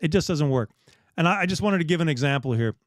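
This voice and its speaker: Middle-aged man, candid, rambling host, a bit high in pitch, dry close recording. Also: lively intonation, fast talker, dry recording. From Bahamas. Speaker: dive shop host. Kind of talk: casual live chat.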